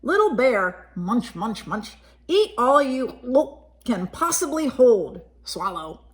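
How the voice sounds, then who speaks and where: Woman as storybook mama bear, exclaiming, emphatic, animated intonation, unhurried. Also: a little high. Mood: coaxing. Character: grumpy, startled adult mother bear in a storybook.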